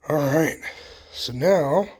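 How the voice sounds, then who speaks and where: A man with a folksy, unhurried way of thinking aloud as he hosts a casual hands-on DIY demonstration. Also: a little high, a little mellow, rough recording. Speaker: host of a homesteading DIY vlog.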